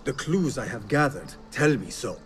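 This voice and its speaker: An actor playing a guarded, determined young assassin in dramatic video game dialogue. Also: male voice, medium pitch, expressive, normal speed, natural voice. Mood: grave. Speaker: game protagonist. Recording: music behind the voice.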